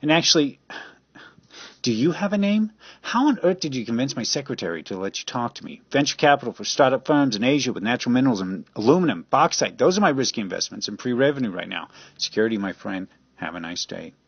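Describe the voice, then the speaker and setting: A man's voice: medium pitch, big pitch swings, quick pace, husky, no echo, dull audio, pitched above the performer's own voice. A man acting as a brusque, dismissive wealthy businessman in an amateur radio drama, lecturing with sarcasm.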